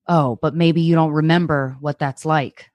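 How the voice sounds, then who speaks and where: A woman plays an exasperated girlfriend of thirty in a table read of a TV script. Her voice is deep for a woman and dry, with curt, sarcastic delivery. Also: fairly even intonation, moderate pace, a little mellow, dry recording, lightly acted. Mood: fuming, sarcastic. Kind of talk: dramatic table read of TV pilot.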